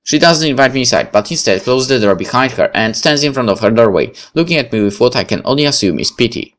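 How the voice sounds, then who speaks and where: A man's voice: mid-range voice, animated, fast talker, fairly bright tone. A man narrating a visual novel as he plays, reading the story aloud.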